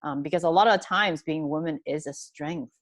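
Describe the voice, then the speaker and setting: Low-pitched woman from hong kong, earnest panel answers, lots of ums, dry sound. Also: normal speed, no echo. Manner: earnest, thoughtful, many fillers and restarts.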